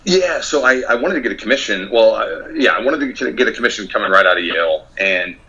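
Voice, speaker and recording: Man, bright forceful delivery, measured with fillers, dull lo-fi recording. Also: on the low side, lively intonation, breathy edge. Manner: measured, many fillers and restarts. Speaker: guest, former soldier. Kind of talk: interview.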